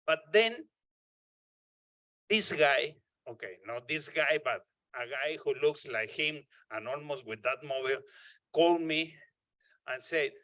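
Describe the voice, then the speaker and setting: A man from Mexico with a Mexican accent, giving a jokey, animated conference talk. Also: fairly high pitch, dry recording. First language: Spanish. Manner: animated, jokey, with bursts of emphasis. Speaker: conference speaker. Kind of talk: humorous keynote talk. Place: conference hall podium.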